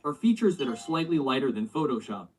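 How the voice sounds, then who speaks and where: A man giving scripted, instructional narration for a drawing-software tutorial, played back from a video. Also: medium pitch, very animated, fast, a loud crowd, low-quality recording.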